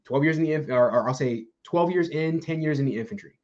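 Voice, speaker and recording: A man's voice: a little high, fast, a little mellow, lo-fi sound. A young man hosting a candid chat over a video call, talking casually and rambling with lots of swearing. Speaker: podcast host, infantry soldier. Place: room at home, gaming chair.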